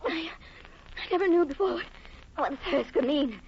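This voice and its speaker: An anxious young wife in an old radio melodrama. Her voice is very high and theatrical, on a dull recording with faint hiss. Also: animated, normal speed. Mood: shaken. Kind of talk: 1940s radio drama acting.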